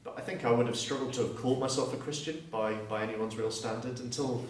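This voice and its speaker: A man's voice: mid-range voice, fairly even intonation, fast, far from the mic, a little hiss or hum. Earnest Southern English man in an echoey room. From Surrey, southeast England. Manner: earnest, reflective, with frequent restarts. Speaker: guest speaker. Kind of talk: personal testimony talk.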